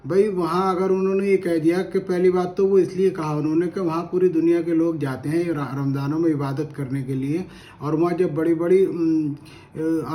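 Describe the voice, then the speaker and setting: Higher-voiced man giving commentary. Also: mellow. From India. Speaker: commentator on current affairs. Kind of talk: spoken opinion commentary to camera.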